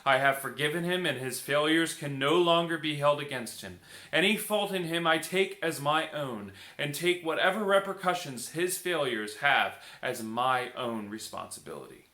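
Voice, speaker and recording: A man voicing a noble, solemn and merciful king in a storybook, speaking in a measured, declamatory way. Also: a little high, lively intonation, pushed and bright. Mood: firm, forgiving. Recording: echoey room.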